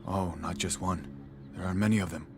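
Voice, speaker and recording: A man's voice: sits low, fairly even intonation, fairly quick, close to an everyday voice. A man playing a self-assured farmer turned hunter, telling his story in video game dialogue. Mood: reflective. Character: contented male villager, farmer turned hunter. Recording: game music behind the voice. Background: music.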